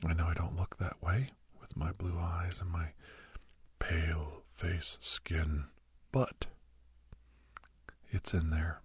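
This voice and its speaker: Man acting as gentle American doctor, ASMR roleplay, very deep pitch, breathy husky whisper, slow halting delivery, reflective. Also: flat delivery, no room sound, heavily acted. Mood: reflective, confiding. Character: kindly soft-spoken male doctor counseling a young werewolf.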